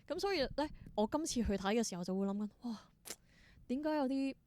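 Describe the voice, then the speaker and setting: A woman with a Hong Kong Cantonese accent, telling stories casually as an interview guest, expressive and mixing in English words. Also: on the high side, quick pace, no room sound. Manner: expressive, exclaims in wonder, mixes in English words. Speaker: interview guest, theatre performer.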